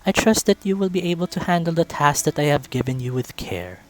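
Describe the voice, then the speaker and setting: A man voicing a courteous, stately animal king in a visual novel, speaking in a measured, formal manner. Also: on the high side, voice pushed up, close to an everyday voice. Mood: gracious. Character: regal anthropomorphic king, courteous, wry, stately.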